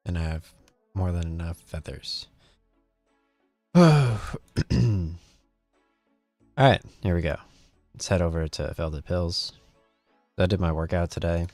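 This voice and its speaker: A man's voice: deep voice, takes it slowly, long pauses, a little mellow, no echo, faint music behind. A man hosting a gaming video, giving casual gameplay commentary and thinking aloud in a rambling way.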